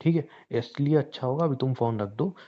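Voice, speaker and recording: A man's voice: medium pitch, a little fast, close to an everyday voice. A young man playing a hurt, angry boyfriend in an acted phone conversation, pleading and mixing English phrases into his speech. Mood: conflicted, restrained. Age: young adult. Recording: dull, lo-fi phone call recording.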